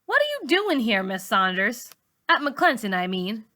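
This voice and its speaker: A woman's voice: a little high, huge pitch swings, unhurried, pushed and bright, full character voice. A woman voicing a blunt, nosy middle-school girl from a storybook, with informal, challenging questions. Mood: blunt, curious.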